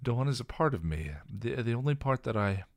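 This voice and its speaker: A man voicing a fierce, defiant young woman who speaks forcefully in short, clipped lines. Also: mid-range voice, lively intonation, a little fast, dry recording, clean studio sound, pitched above the performer's own voice, natural voice. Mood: anguished.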